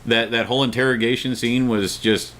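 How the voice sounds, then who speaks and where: A man's voice: mid-range voice, steady tone, a little fast, a bit bright. A man co-hosting a casual, opinionated discussion, emphatic and repeating words for stress. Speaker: talk show co-host. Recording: noticeable background noise.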